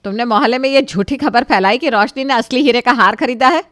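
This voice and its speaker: A woman's voice: a little high, brisk, fairly bright tone, no room sound, pitched above the performer's own voice, natural voice. Woman acting motherly mother-in-law, dry sound. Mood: angry, scolding. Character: middle-aged mother-in-law, motherly but quick to scold.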